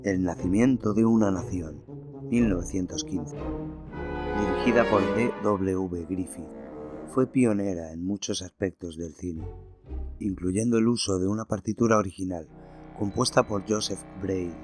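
A man reading a horror story aloud in a slow, theatrical way, then presenting notes on film music. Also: sits low. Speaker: narrator and podcast host. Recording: narration over loud music.

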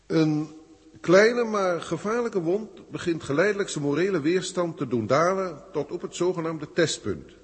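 Pompous alien proctor, hoarse, echoing. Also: male voice, a little high. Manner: formal, pompous, officious. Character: haughty, impatient male alien senior proctor filing reports. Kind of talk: 1960s Dutch science fiction radio drama. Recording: echoey hall effect, old radio recording.

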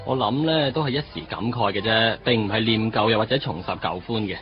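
A man acts a glib, opinionated married pal in his late twenties for a Cantonese radio drama, with a Hong Kong accent. His voice sits a bit low and he lectures with chatty, emphatic asides. Faint music plays under a slightly dull recording. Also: a little fast, lightly acted. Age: 20s. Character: glib married buddy, late twenties, philosophizing about women.

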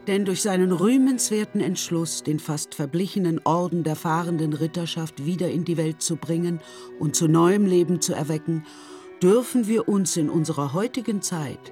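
A man's voice: on the high side, moderate pace, dark tone, soft background music, close to an everyday voice. Man as ornate, grand storyteller narrator. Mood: grand, exalted. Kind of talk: scripted radio play narration.

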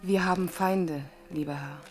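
An actress playing a devoted, self-sacrificing royal mistress in a German radio drama, earnest and solemn. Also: female voice, lowish voice, fairly even intonation, moderate pace, darkish tone, faint music behind, lightly acted.

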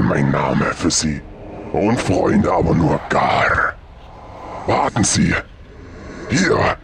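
Starstruck demon boss: gravelly, slow, gushing courtesy, fantasy parody, noisy backdrop. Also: male voice, lowish voice, full character voice. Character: excitable demon boss, polite starstruck fan. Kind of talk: German fantasy parody audio drama.

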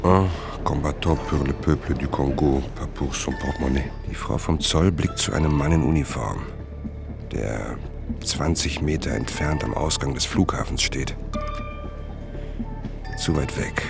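A brooding, wry traveller telling his story in a literary radio play. His very deep voice crackles with gravel, and he speaks in measured, terse, observant phrases over clear music. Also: heavily acted. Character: wry, brooding male traveller narrating arrival in Zaire. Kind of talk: literary radio drama narration.

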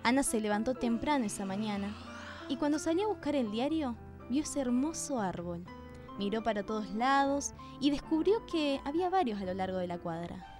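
A woman's voice: on the high side, animated, moderate pace, over music. A woman reading scripted narration for a radio drama.

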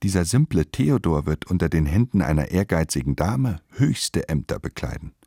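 A man's voice: deep voice, sing-song, normal speed, creaky, warm tone, husky, no room sound, studio quality. A man narrating a radio play in a scripted literary style, with clipped, declarative delivery.

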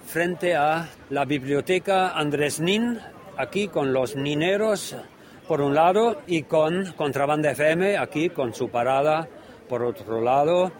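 A man presenting radio reportage as host and street reporter, switching between German and Spanish. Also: on the high side.

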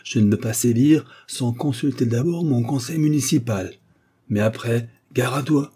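A big, gruff village mayor from a storybook , put on by a male reader, paternal yet bossy. He scolds bluntly in a husky, mellow voice. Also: mid-range voice, moderate pace, lightly acted. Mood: threatening. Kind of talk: literary audiobook dialogue.

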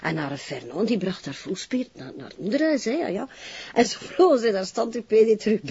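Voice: mid-range voice, expressive, fairly quick, a little mellow, natural voice. Actor doing chatty flemish police underling, voice goes up and down a lot, muffled tv sound. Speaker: actor in a detective drama. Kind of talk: naturalistic TV drama dialogue.

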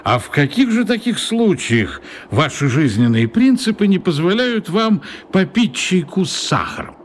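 A man's voice: sits high, sing-song, normal speed, a little hiss or hum. An actor playing a courteous, curious man on a train in a children's radio play, asking probing questions. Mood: curious, polite.

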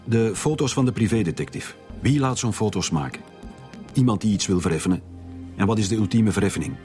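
A man playing a deliberate, doubting police chief in a radio drama, reasoning through rhetorical questions. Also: fairly low pitch, lively intonation, a little mellow, faint music behind, natural voice.

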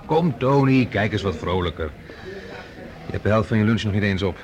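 A male actor plays a breezy scientist with a teasing, conversational way in a science fiction radio play. His voice sits fairly low for a man. A lively crowd murmurs behind him. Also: moderate pace, close to an everyday voice. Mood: teasing, cheerful. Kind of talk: science fiction radio drama, chatty dialogue.

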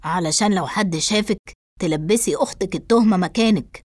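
A woman voicing a calm, probing male police investigator in an audio story, measured and questioning, with an Egyptian accent. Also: mid-range voice, no echo, clean studio sound, pitched below the performer's own voice, close to an everyday voice.

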